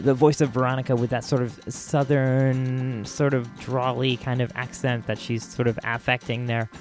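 An American man hosts a podcast in a mid-range voice, with faint music behind him. His commentary is casual and chatty, and he often pauses to find his words. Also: mid-range voice. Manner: informal, hesitant, chatty. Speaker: podcast host.

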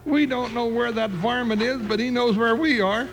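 A man's voice: very high voice, normal speed, fairly bright tone. A man playing a comic, easily spooked American cowboy sidekick with a folksy, complaining drawl in a 1950s radio western. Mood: nervous, grumbling. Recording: old radio recording, faint noise.

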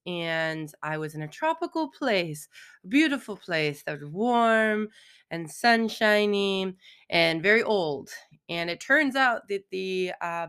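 Woman podcast host, hoarse from allergies, crisp, bright tone, casual and chatty. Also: lowish voice. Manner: chatty, frequent "right?" and "um". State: hoarse, froggy voice. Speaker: podcast host, philosophical counselor. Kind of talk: solo podcast monologue.

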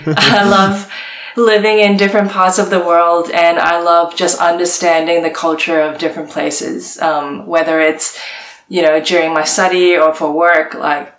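A young woman from Hong Kong, answering interview questions in a relaxed, chatty way with lots of fillers. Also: fairly low pitch, roomy sound, a little hiss or hum, poor audio. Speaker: guest, art director.

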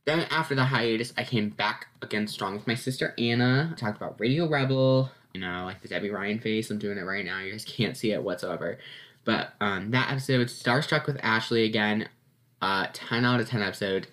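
A man's voice: lowish voice, animated, brisk, a bit bright. A teenage boy hosting his own podcast, chatting casually and upbeat with lots of ums and little laughs, sounding a bit congested and sniffly. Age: teens. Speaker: podcast host. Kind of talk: casual solo podcast recap.

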